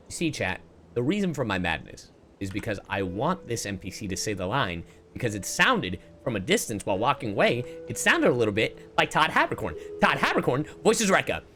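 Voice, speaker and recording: A man streaming a game playthrough with excitable, profane, playfully exasperated commentary. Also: mid-range voice, moderate pace, soft background music. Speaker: streamer, VTuber.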